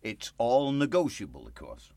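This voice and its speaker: A man's voice: medium pitch, wildly swooping pitch, normal speed, gravelly, darkish tone, dry recording. An actor playing a desperate, bitter, insistent man in a radio play. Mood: eager, persuasive. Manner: insistent, sardonic, emotionally volatile. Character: desperate, bitter man pleading and manipulating his pastor for money.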